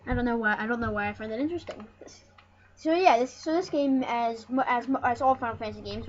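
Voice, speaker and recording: A high-voiced speaker giving casual let's play commentary as host of a retro gaming series, rambling and often restarting phrases. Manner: rambling, repeats and corrects phrases. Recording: muffled, noisy home recording.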